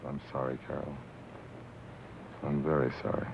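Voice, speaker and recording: A man's voice: rumbling low, normal speed, vocal fry. A man playing a dogged, guarded American radio reporter in 1950s film drama style, terse and dryly understated. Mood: sympathetic. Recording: muffled, faintly noisy vintage film soundtrack.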